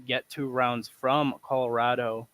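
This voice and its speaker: A male esports caster with a Portuguese accent, commenting live on a match. His delivery is animated and he often restarts his words, and his voice sits at an ordinary middle pitch for a man. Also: a little slow.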